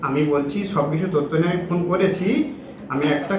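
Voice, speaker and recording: A man's voice: a little high, fairly quick, crowd noise. A young man making an insistent, repetitive phone call in casual confrontational conversation. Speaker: prank caller. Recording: echoey room with background noise.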